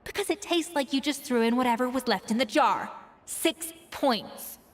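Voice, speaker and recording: A sharp-tongued refined chef. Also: female voice, sits high, animated, long reverb tail. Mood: critical. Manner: cutting, measured, dryly sarcastic. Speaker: chef judging dishes.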